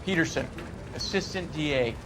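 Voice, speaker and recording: Brash male assistant DA for a 1940s detective game, played by a man. Assertive, somewhat raised pitch, clear ambient noise behind. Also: normal speed, close to an everyday voice. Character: ambitious, pushy male assistant district attorney.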